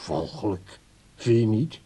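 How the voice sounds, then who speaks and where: Radio drama: prim, disdainful man, lowish and very dark voice, puzzled questioning. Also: expressive, measured pace, slightly rough. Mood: prim disgust. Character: puzzled, prim, disapproving man discussing an old man. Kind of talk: naturalistic radio drama acting.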